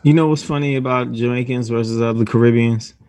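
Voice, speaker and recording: A man's voice: mid-range voice, fairly even intonation, a little fast, darkish tone, no room sound. A man in casual podcast chat, joking as he tells a story.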